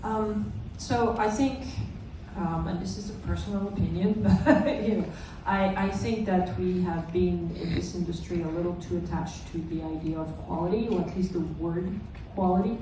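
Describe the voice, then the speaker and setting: Casting: female conference panelist, measured and opinionated, heard from a distance in a strongly echoing room. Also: fairly low pitch, steady tone. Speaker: conference panelist, globalization director. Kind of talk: informal panel talk. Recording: echoey room, distant mic, faint noise.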